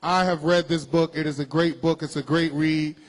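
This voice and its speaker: A man hosts a casual interview and asks long, drawn-out questions. He affirms his guest often and speaks in an encouraging way, and his voice is slightly higher than most men's. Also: steady tone. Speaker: interview host.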